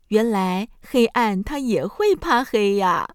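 A woman narrating a scripted children's story in an expressive, gentle, dramatic storytelling manner. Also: medium pitch, clear, resonant tone, dry recording.

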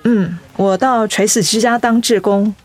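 A woman's voice: mid-range voice, fairly even intonation, measured pace, close to an everyday voice. A woman playing an earnest young volunteer. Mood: serious, earnest. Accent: Taiwanese. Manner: earnest, articulate. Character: principled young female volunteer, thoughtful and resolute. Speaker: voice actor in a radio drama. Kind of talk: Mandarin radio drama acting.

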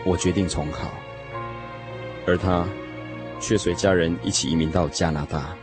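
An actor playing a lovesick young man, telling his lost first love in an expressive, emotional radio drama style. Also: low voice, moderate pace, natural voice. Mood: wistful. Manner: expressive, sighing, emotional storytelling. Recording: music playing behind the voice. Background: music.

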